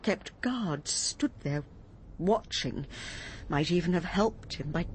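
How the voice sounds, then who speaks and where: A woman's voice: fairly low pitch, lively intonation, slow pace, a little hiss or hum. An actress playing a troubled, earnest woman who speaks hesitantly in a British radio drama. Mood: anxious, accusing. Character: troubled, earnest woman gently confronting her friend.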